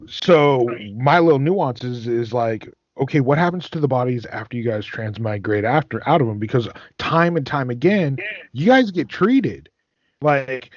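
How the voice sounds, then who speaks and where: A man hosting a casual podcast chat in an animated, analytical way. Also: mid-range voice, no room sound. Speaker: podcast host. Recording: dull, lo-fi close recording.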